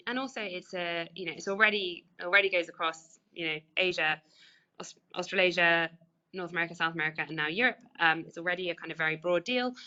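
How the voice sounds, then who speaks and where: Woman doing a webinar talk, lowish voice, talks fast, chatty and hesitant, bit muffled lo-fi audio. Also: sharp tone. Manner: hesitant, conversational, hedging with kind of. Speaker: trade official presenting. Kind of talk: webinar presentation, informal explanatory. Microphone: online webinar call.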